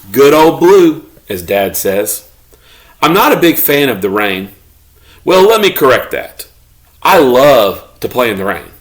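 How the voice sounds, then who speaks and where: A man voicing a good-natured, grumbling pet beagle telling his own storybook tale in an expressive, mock-indignant way. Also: medium pitch. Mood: wry, grumbling.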